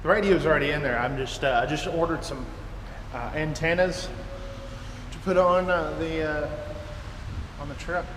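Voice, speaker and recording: A man's voice: on the high side, normal speed, pauses often, fairly bright tone. An American man in his thirties, a trucker talking casually to camera in a rambling, folksy way. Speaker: trucker and video blogger. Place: inside an empty truck trailer, door open. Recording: echoey metal trailer, clear background noise.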